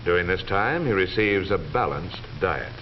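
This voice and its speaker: Deep-voiced American man, vintage film narrator. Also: animated. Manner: formal, measured, authoritative delivery. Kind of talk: 1940s scripted educational film narration. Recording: dull vintage 1940s film soundtrack, faint noise.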